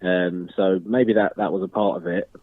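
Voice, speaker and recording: A young man in his twenties talks over a tinny phone line. His voice sits very low and sounds dry and close. He answers questions modestly and measuredly, full of ums and restarts. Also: sing-song, moderate pace, gravelly, no echo. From Northern Ireland. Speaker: football striker, interview guest. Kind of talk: casual interview answers.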